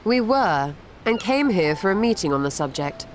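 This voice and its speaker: A woman's voice: medium pitch, very animated, normal speed, slight background noise, close to an everyday voice. An actress voicing a composed, analytical woman mage in a video game, speaking dryly and precisely.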